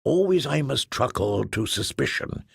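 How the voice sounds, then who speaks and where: A man voicing a huge, ponderous male space trader who speaks in a slow, formal, pompous way. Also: mid-range voice, lively intonation, gravelly, breathy edge, dry recording, studio quality, heavily acted. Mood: sorrowful. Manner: slow, stately, pompous phrasing. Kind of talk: audiobook character voice.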